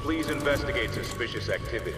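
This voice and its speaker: An actor playing a stern security announcer in a video game, giving clipped, official loudspeaker announcements. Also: male voice, mid-range voice, animated, quick pace. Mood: official alert. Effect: loudspeaker announcement echo. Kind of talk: formal public-address announcement, video game acting. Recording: echoey hall from far off, music behind. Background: music.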